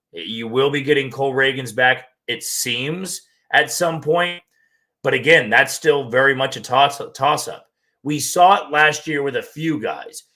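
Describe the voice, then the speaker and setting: Man, hoarse and losing his voice, sports podcast host, bright forward tone, frank commentary. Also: mid-range voice. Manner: talks straight through with frank, plain opinions.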